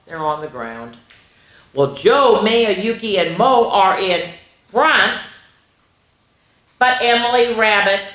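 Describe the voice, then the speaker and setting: Female storytime reader for small children, highly animated and sing-song, addressing kids directly, recorded in a roomy, echoing space. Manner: expressive, exaggerated, sing-song with sound effects; chatty asides to young listeners. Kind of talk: animated read-aloud to young children. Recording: echoey room.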